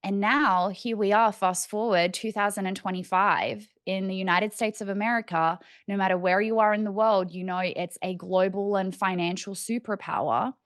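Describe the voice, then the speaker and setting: A woman's voice: medium pitch, steady tone, normal speed, few pauses, dry recording, clean studio sound. A young Australian woman, in her twenties or thirties, explaining things in a casual, conversational way as she thinks aloud. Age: 20s to 30s. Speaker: financial educator on cryptocurrency.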